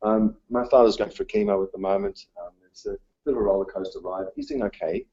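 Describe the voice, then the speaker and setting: A man speaks in a low, husky voice with a dark, mellow tone, giving a talk. His first language was Dutch. He hesitates a lot, filling the gaps with ums. The sound is dull and lo-fi. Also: sing-song. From Australia. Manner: halting, many ums and uhs. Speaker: teacher giving a conference talk. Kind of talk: presentation talk. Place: conference room.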